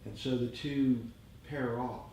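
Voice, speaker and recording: A heavily echoing room with faint background noise surrounds this man's voice. He speaks at a middling pitch as a participant in a meditation group. His delivery is that of a thoughtful comment in open discussion. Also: steady tone, slow pace, dark tone.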